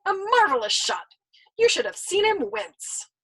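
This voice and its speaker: A woman voicing a shameless, worldly old man over a hundred, teasing and smug, in a breathy voice, as she reads a storybook aloud. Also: very high-pitched, very animated, measured pace, fairly bright tone, pitched above the performer's own voice, exaggerated voice, rough recording. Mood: mocking.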